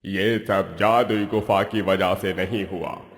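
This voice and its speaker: A man voicing a stern, punishing supernatural sky voice with slow, deliberate pronouncements in a cartoon story. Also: low voice, steady tone, in a large hall. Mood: stern, menacing.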